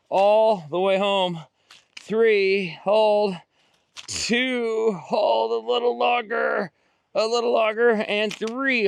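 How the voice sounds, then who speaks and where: Middle-aged guy leading a band workout outside, clean smooth voice, hypes you thru the reps. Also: sits high. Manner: upbeat coaching, counting reps and cheering. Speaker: fitness instructor. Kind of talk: workout coaching. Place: outdoors on a beach.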